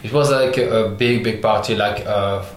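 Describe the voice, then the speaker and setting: A man from Algeria who grew up in France, a French speaker talking as an artist guest in an interview, pausing often and searching for English words. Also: fairly low pitch, fairly even intonation. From Algeria, raised in France. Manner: many pauses and restarts, searching for English words. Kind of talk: halting interview answers. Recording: echoey room with faint noise.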